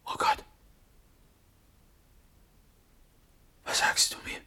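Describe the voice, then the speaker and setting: An actor playing an impetuous, anguished young Prussian prince in a classical verse radio drama, breathy and declamatory. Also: male voice, brisk, bright, forceful tone. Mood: despairing. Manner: impassioned declamation swinging from whispers to outcries.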